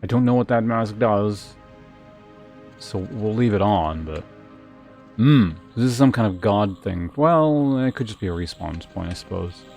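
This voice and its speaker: A man's voice: on the low side, expressive, measured pace, creaky, a little mellow, soft background music. A man giving casual live game commentary as a streamer, thinking aloud and muttering to himself as he plays.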